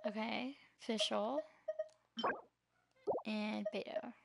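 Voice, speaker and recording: Slow, unhurried woman streaming a game. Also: mid-range voice, steady tone, gravelly, background noise. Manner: sparse, thinking aloud. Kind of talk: casual muttered gameplay commentary. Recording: game music behind. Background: game music.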